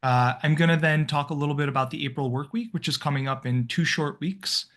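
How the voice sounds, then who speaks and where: A man's voice: fairly high pitch, brisk, fairly bright tone. A man hosting a volunteer video call as coordinator, talking in a friendly, rambling way with many fillers and restarts. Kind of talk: casual video-call welcome.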